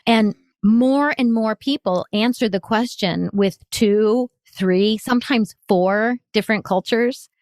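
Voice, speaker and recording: A woman, a consultant telling stories as a podcast guest in an enthusiastic, expressive way. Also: mid-range voice, no room sound. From United States. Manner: enthusiastic, expressive, laughs often. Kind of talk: storytelling in an interview.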